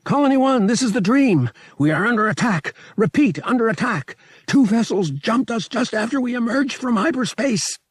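A man voicing a brash young starship pilot in an audiobook, excitable and colloquial. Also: very high-pitched, moderate pace, breathy edge, no echo, studio quality, pitched above the performer's own voice, full character voice. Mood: frantic, strained. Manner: urgent, colloquial, exclamatory. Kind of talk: audiobook character voice.

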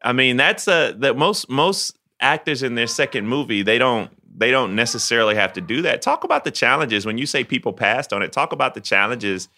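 A man's voice: medium pitch, animated, brisk, few pauses, pushed and bright. A man hosting a podcast, asking questions in a playful, joking, slangy way. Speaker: podcast host. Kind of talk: casual interview chat.

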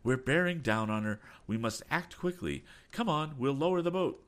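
A man voicing a bold, confident sea captain in an adventure storybook, with a brisk, commanding manner. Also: fairly low pitch, sing-song, voice pushed up. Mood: urgent, commanding. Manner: urgent, exclamatory, commanding. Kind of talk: audiobook adventure character.

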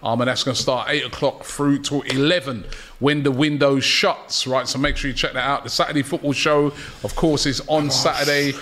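A man's voice: medium pitch, steady tone, normal speed, pushed and bright, slight background noise. A man hosting a casual football podcast and reading viewer messages in a jokey way. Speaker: host.

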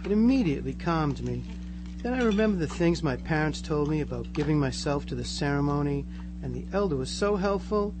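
Man acting a blissfully serene teen boy, lively swooping pitch. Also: brisk, background noise, close to an everyday voice. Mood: serene, reassuring. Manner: earnest, placid, gushing. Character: blissfully converted small-town teenage boy, eerily reassuring. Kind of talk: live amateur radio drama acting.

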